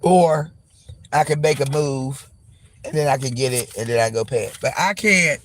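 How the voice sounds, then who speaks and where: A man vlogging casually from his car, hoarse and losing his voice from a chest cold, talking in a rambling, profane, frank way. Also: medium pitch, normal speed, a little hiss or hum. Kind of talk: casual vlog monologue. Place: parked car.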